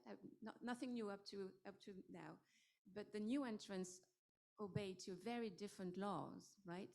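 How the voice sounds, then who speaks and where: French-accented woman panelist, hesitant, slightly low voice. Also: darkish tone. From France. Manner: hesitant, many fillers and restarts. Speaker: investor panelist. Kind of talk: panel discussion.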